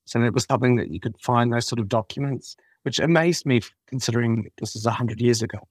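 Male reporter guest, New Zealand accent, relaxed and hesitant, dry sound. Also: medium pitch, fast, husky, no echo. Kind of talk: casual interview answers.

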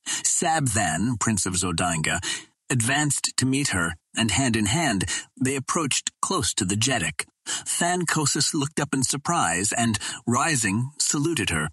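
A man's voice: mid-range voice, very animated, a little slow, bright, forceful tone, husky, no room sound. A man reading a pulp fantasy adventure audiobook as scripted narration.

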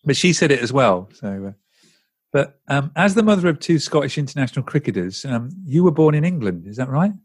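A man's voice: medium pitch, very animated, brisk, slightly rough. A man hosting a podcast interview, chatty and hesitant, with many fillers. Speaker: podcast host.

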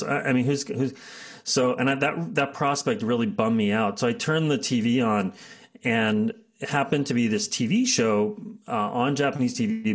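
A middle-aged man, telling his career story as an interview guest, full of fillers and restarts. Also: medium pitch. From United States. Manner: rambling, many fillers and restarts, laughs often.

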